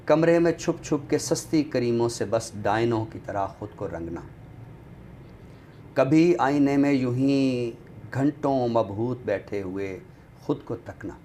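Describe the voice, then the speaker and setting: A man reciting poetry on a literary show in a deliberate, expressive way, returning to refrains. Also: medium pitch. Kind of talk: dramatic Urdu poetry recitation, then book talk. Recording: clearly noisy background ambience.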